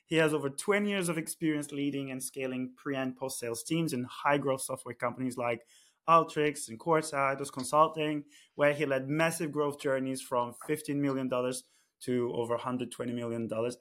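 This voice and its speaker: A man's voice: medium pitch, steady tone, brisk, a bit bright. A man hosting a podcast interview, upbeat and chatty, asking personal questions. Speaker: podcast host.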